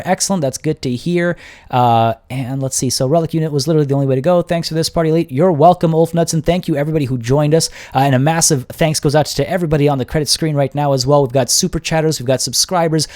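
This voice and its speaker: Young male streamer, upbeat and chatty, a bit hoarse by the end of the stream. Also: fairly high pitch, darkish tone. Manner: upbeat, chatty, grateful, many restarts. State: voice getting worn and hoarse. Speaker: livestream host and game caster. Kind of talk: casual livestream sign-off, reading chat aloud.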